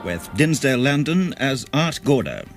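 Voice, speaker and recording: A man's voice: mid-range voice, very animated, normal speed. A man announcing a radio drama in a formal, scripted style. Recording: loud music behind. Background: loud music.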